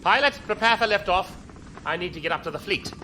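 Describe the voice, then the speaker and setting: An actor playing an imperious, exasperated imperial fleet commodore in a sci-fi audio drama, giving sharp commands. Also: male voice, high-pitched, lively intonation, fast. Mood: commanding. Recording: long hall reverb, noisy ambience.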